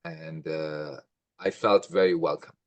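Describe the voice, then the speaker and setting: A man in his fifties from Trinidad, a karate instructor reminiscing casually in a halting way as an interview guest. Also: extremely low pitch, fairly even intonation, dry recording. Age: 50s.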